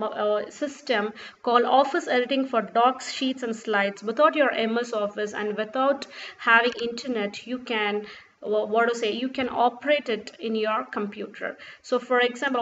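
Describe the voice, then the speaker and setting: A woman whose first language is Urdu, walking through a screen-recorded software tutorial step by step with fillers and restarts. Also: a little high. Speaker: tutorial presenter. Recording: dull lo-fi sound with faint noise.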